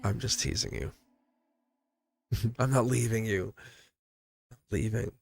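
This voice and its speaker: A man's voice: on the low side, slow pace, gravelly, soft background music. A man giving a live-streamed tarot reading, chatty and teasing, laughing often as he talks to his viewers. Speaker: tarot reader.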